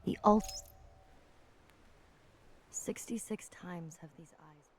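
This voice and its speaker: A woman reading quotations for game audio logs in a deliberate, contemplative manner. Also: on the low side, slight background noise.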